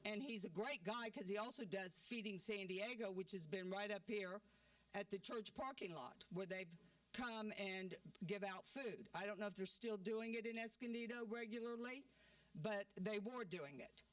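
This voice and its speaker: A woman's voice: mid-range voice, normal speed, no echo. An older woman giving an outspoken, chatty public comment at a community forum, tagging her points with "okay?". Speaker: community member speaking at a forum. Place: church hall at a community meeting. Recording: dry, distant handheld mic.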